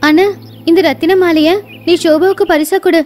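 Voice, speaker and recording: A woman voicing an earnest, devoted young bride and sister in an animated bedtime story, speaking in a sincere and emphatic way. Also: very high voice, moderate pace, faint music behind, voice pushed up. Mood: confiding.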